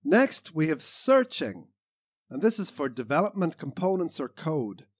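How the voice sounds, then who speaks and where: Male author-narrator for nonfiction audiobook. Animated, swinging pitch, recorded close and dry. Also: a little slow, breathy edge, no room sound. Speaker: author reading own audiobook.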